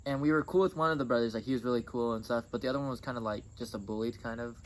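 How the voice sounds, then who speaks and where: A man's voice: mid-range voice, racing. A young man telling a story casually, in a laid-back, matter-of-fact way. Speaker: interview guest. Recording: noisy, with clear background noise.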